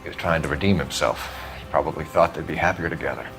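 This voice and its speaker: A man's voice: rumbling low, fast, creaky, a bit bright, faint music behind, heavily acted. An actor playing a terse, brooding American detective in his thirties in a 1940s crime video game. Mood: certain, brooding. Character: intense, cerebral young male 1940s police detective.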